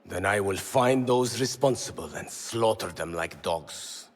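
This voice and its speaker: An actor playing a proud, vengeful rebel leader in a game cutscene, speaking with deliberate force. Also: male voice, deep voice. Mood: angry, defiant. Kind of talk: video game cutscene acting.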